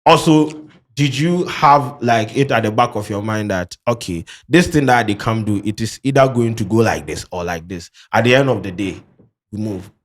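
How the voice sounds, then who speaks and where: A man with a Ghanaian English accent who mixes in Pidgin, hosting a casual studio interview in a lively, playful way. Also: fairly low pitch, moderate pace. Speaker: podcast host.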